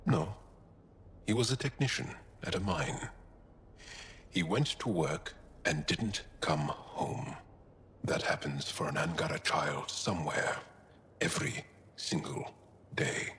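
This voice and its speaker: Gentle alien rebel from a video game, real deep and slow, solemn, big hall reverb. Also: male voice, animated, a little hiss or hum, heavily acted. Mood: sorrowful. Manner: measured, solemn, heartfelt. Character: gentle, earnest adult male alien resistance fighter. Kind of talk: video game cutscene acting.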